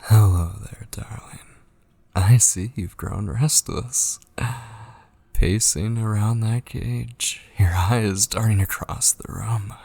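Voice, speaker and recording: Male actor as possessive kidnapper for ASMR roleplay: deep, unhurried, breathy male voice, teasing and quietly menacing. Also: steady tone, no echo, full character voice. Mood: taunting. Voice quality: breathy, husky, often dropping to whispers. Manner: slow, coaxing, teasing endearments. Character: obsessive possessive male yandere kidnapper, sweetly menacing and taunting. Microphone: close studio microphone, dry.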